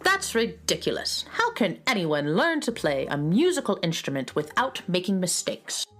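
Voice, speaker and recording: Indignant little flute teacher, voiced by a woman, animated. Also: fairly low pitch, moderate pace, soft background music. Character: small silver flute, indignant female music teacher. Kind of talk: children's radio play acting.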